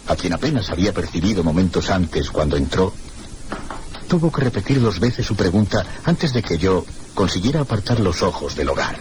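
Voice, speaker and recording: A man acting a melancholy, reserved guest in a radio mystery drama, speaking Castilian Spanish in a measured, literary, courteous manner. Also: low voice, sing-song. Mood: melancholy, brooding. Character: melancholy, reserved one-armed male guest narrating his tale. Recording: noisy old radio drama recording.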